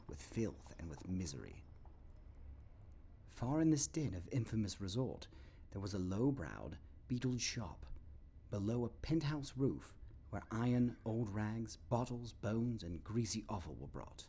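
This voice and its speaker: A man narrating an audio play as a dramatic storyteller, with ominous, deliberate phrasing. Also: fairly low pitch, warm tone, slightly rough.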